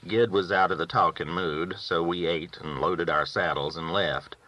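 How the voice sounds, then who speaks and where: A man's voice: low voice, fairly even intonation, moderate pace, bright, forceful tone. A man reading a novel aloud as a first-person storyteller, with folksy rural phrasing, on a dull recording. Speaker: audiobook reader.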